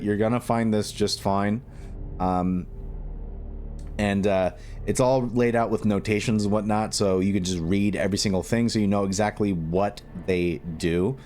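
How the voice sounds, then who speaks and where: A man with a fairly low voice gives an informal software walkthrough. He works through it step by step, often stopping to think out loud and pick up his thread again. Music plays behind his voice. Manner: halting, thinking aloud. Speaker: tutorial presenter. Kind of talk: unscripted step-by-step screen tutorial.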